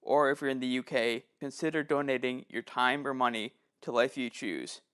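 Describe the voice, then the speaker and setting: A man's voice: medium pitch, moderate pace, a bit bright, clean tone. A man reading a scripted review as the host, in the manner of prepared narration.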